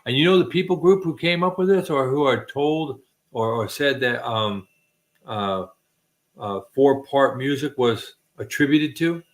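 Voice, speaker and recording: A man's voice: mid-range voice, a little slow. A middle-aged man hosting a casual late-night chat, rambling with many restarts and self-deprecating jokes. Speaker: host.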